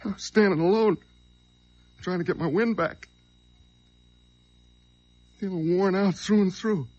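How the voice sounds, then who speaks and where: An actor playing a desperate, brooding fugitive killer in an old-time radio crime drama, given to overwrought outbursts. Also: male voice, high-pitched, measured pace, darkish tone. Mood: brooding, tense. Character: desperate fugitive man who killed a truck driver. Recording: dull, noisy old radio recording.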